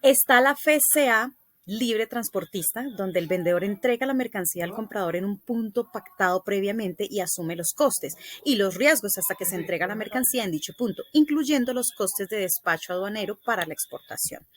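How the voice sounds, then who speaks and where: A woman's voice: medium pitch, animated, moderate pace. A woman presenting a scripted explanatory lesson, reading aloud in a didactic way with occasional stumbles.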